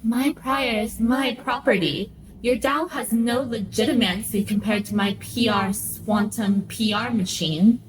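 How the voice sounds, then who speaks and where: Vain swan superstar, radio drama, female actor: self-adoring, dramatic, highish voice, a little distant with faint noise. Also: measured pace, close to an everyday voice. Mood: indignant. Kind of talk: radio play acting.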